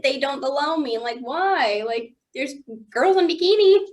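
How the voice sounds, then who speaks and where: Halting woman, fairly high voice. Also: animated. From southern Germany. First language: Swiss German. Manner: halting, searching for English words, laughs often. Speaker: interview guest. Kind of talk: casual interview chat. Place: indoor studio under lights.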